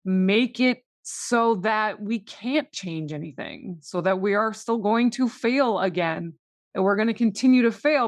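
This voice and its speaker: A woman co-hosting a podcast in relaxed, conversational discussion. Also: medium pitch, dry recording, studio quality. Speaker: podcast co-host.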